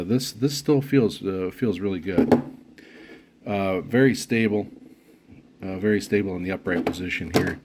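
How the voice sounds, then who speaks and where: A man giving unscripted unboxing commentary as a product reviewer, speaking haltingly with frequent pauses mid-phrase. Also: low-pitched, heavy background noise.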